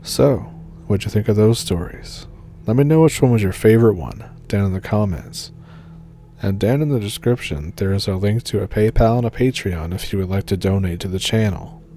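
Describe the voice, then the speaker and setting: A young man from New Brunswick with a Maritime Canadian accent, calmly reading encounter stories as a channel host. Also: lowish voice, normal speed, soft background music.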